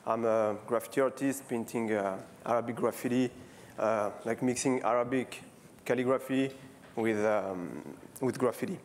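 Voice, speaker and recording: A man in his thirties with a French accent, giving a casual conference talk on stage, halting often with fillers and restarts. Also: medium pitch, steady tone, slight background noise. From France. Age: 30s. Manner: casual, friendly, repeating words. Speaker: street artist giving a talk. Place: stage before a live audience.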